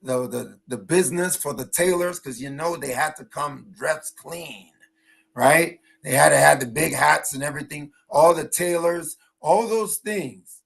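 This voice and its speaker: A man's voice: on the high side, a little slow, creaky, a bit bright. A man speaking as a panelist in an impassioned, explanatory way, stressing points and often checking in with the listener. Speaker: panelist, engineer and cultural rights advocate. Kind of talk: impassioned advocacy talk at a virtual panel.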